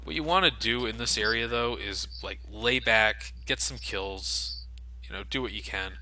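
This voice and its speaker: A young man giving relaxed, casual commentary over a game, swearing freely and laughing often. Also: lowish voice, normal speed, rough recording. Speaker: let's play commentator. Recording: game gunfire noise behind. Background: game gunfire and ambience.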